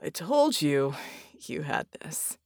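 A woman voicing a wounded man with a croaky, breathy hiss, wry and stubborn, in an audiobook. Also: low voice, big pitch swings, slow pace, darkish tone, no echo, studio quality, voice pushed down, exaggerated voice. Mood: weary, wry.

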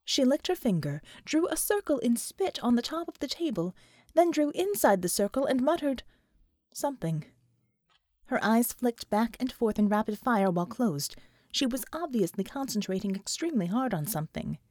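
A woman's voice: fairly high pitch, big pitch swings, normal speed, darkish tone, dry recording. A woman reading scripted fiction as an audiobook narrator, in a dramatic storytelling style.

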